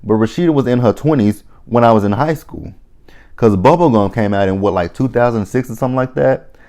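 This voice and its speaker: A man's voice: mid-range voice, a little fast, mellow. A man in his thirties giving a casual, blunt recap of a reality show, opinionated and rambling, swearing freely and often restarting his sentences. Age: 30s. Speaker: reality TV reviewer.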